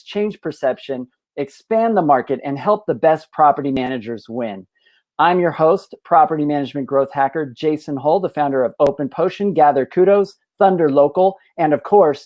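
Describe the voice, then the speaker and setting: Energetic male podcast host, slightly high. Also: normal speed. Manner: energetic, promotional. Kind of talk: scripted show intro then interview.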